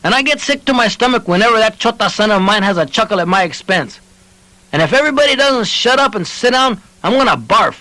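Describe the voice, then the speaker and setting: Audiobook role: male narrator as stammering old village man, pitched high, earnest, indignant, pleading, very close dry sound. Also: expressive, a little fast, bright, forceful tone, dry recording, poor audio. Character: emotional old one-handed New Mexico villager, indignant and earnest.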